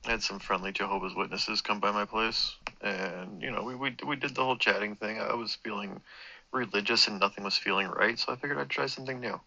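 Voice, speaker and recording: A man's voice: fairly low pitch, steady tone, fairly quick, gravelly, bright, forceful tone, dull audio. A man with a sore throat telling a long personal story, meandering and correcting himself, swearing casually. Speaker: vlogger. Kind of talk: rambling personal monologue.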